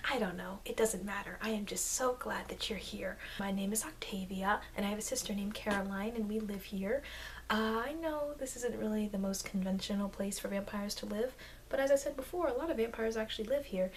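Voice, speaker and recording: Through a faintly noisy amateur mic comes a woman acting as an eager young-seeming vampire girl in an ASMR roleplay. She is friendly and chatty, with a mid-pitched voice. She rambles awkwardly and hesitates as she goes. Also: natural voice. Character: friendly, flustered, eager vampire girl, thousands of years old. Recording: noisy amateur microphone, background hiss.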